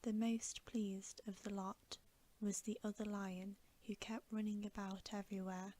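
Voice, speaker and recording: A woman narrates a bedtime storybook for ASMR. Her whisper is soft and breathy, very slow, mellow and even in pitch. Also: a little hiss or hum. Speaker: ASMR reader.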